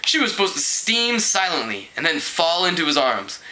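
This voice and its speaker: A man narrates a storybook aloud in an expressive amateur style. His voice is fairly high and very bright, with a forceful edge and a mock-dramatic delivery. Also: moderate pace, low-quality recording. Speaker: amateur book reader.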